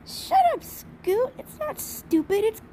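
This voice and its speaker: A woman's voice: very high voice, big pitch swings, slow, dark tone, a little hiss or hum, full character voice. A woman voicing a bossy, dismissive little unicorn filly in an amateur cartoon dub.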